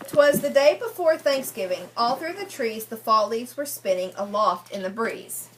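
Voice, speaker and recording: Woman, fairly high voice, teacher's interactive read-aloud, prompting questions, repeated answers, faint background noise. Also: lively intonation, normal speed, rough recording. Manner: often repeats children's answers back, prompting them with questions. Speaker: teacher reading a picture book to young children.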